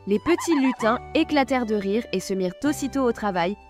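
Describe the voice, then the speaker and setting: Casting: woman narrator, gentle storytelling voice, slightly high, clear music bed behind. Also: expressive, fairly quick.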